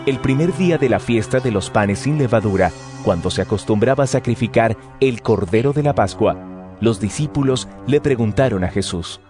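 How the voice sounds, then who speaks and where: A man giving formal, measured narration of scripture. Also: medium pitch, expressive, over music.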